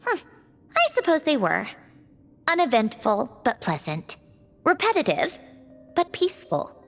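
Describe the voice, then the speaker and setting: A woman voicing a self-assured, brisk yet reflective female automaton in an English video game. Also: high voice, huge pitch swings, a bit bright, faint music behind, full character voice. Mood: wistful. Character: female created automaton, wistful, bossy, proud, fond of puzzles.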